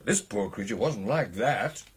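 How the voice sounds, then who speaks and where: An actor with a British accent playing a genial, earnest gentleman clubman in a radio drama, speaking in old-fashioned upper-class phrases. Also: fairly low pitch, animated, unhurried, husky, exaggerated voice. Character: genial, earnest English gentleman clubman telling a ghost tale.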